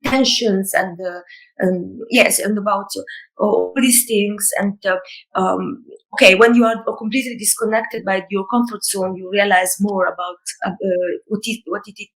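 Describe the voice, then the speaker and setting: Female author from Italy, hesitant, halting, thinks aloud. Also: medium pitch, steady tone, poor audio. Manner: hesitant, searching for words with many fillers. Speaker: author in conversation. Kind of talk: reflective conversation.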